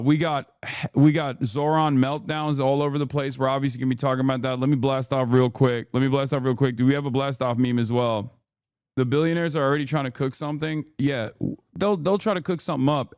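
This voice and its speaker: A man with a Texan twang hosting a live stream, riffing loosely and excitably with plenty of swearing and repeated phrases. His voice is smooth and resonant at a middling pitch. It sounds dry and close, with no room around it. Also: no room sound. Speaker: livestream host. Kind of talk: casual livestream commentary.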